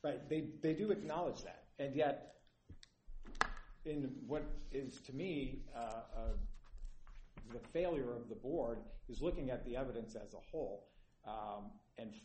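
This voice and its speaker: A man arguing an appeal as attorney in court, earnest and halting with many fillers, sounding tired. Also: medium pitch, dark tone, a little hiss or hum. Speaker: appellant's attorney. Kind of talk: courtroom oral argument. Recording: echoey courtroom.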